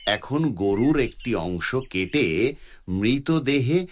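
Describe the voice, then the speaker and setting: A man's voice: mid-range voice, expressive, very slow, slight background noise, voice pushed up. A man voicing a solemn prophet who gives firm, declarative commands in a cartoon religious story. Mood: solemn instruction. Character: solemn prophet relaying divine commands firmly.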